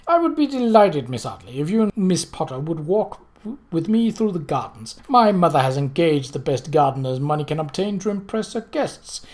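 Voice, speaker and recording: Storybook gentleman, performed by a narrator: aristocratic man, confident, polished, gallant and courteous. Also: medium pitch, sing-song, moderate pace, close to an everyday voice. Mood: courtly. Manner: smooth, flourishing, courteous.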